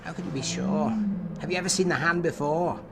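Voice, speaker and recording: A man playing an enigmatic therapist in a fan audio drama, asking probing questions in a formal, measured, wry way. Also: sits high, background noise. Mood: probing, sceptical.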